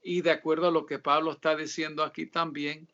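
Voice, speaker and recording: Bright-voiced man, halting and reflective. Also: fairly high pitch, level tone, dull audio. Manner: halting, with frequent filler sounds and reflective pauses; reads scripture aloud in a steadier tone. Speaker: guest author answering questions. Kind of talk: casual religious interview.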